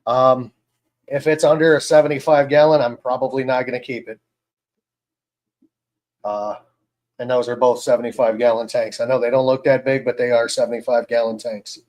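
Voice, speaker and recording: A man hosting a casual live stream about fishkeeping, talking in a relaxed, rambling way with many fillers. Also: mid-range voice, moderate pace, pauses often. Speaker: host.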